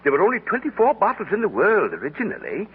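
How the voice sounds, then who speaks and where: A man playing a formal, obliging butler with a husky, gravelly voice in a 1940s radio comedy. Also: high voice, wildly swooping pitch, fast, exaggerated voice. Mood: deferential. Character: deferential butler serving a rich tycoon. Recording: tinny, band-limited 1940s radio broadcast.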